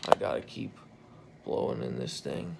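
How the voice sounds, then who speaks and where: Hoarse, gravelly man in a hospital bed. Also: animated, moderate pace, very noisy. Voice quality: gravelly, croaky. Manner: tired, low-key, relieved. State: hoarse after intubation. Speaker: patient recording a video diary. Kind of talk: casual video journal. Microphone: phone held at arm's length.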